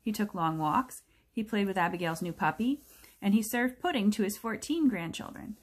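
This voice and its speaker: Female, teacher doing an expressive picture-book read-aloud, mid-pitched, clear explanatory delivery for kids. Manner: expressive, explanatory, pauses to question listeners.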